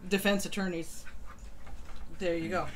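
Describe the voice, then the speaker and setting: A woman's voice: lowish voice, steady tone, a little slow, slight background noise. A woman hosting a live stream, chatting casually and bluntly about the news and talking to her viewers. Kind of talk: casual news commentary and chat. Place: home live stream over voice chat.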